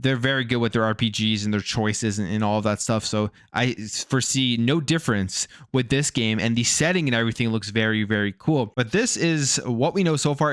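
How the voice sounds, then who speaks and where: A man's voice: mid-range voice, fairly bright tone, no room sound, clean studio sound. A man presenting video game news as a host, in a casual commentary style. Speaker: gaming news YouTube host.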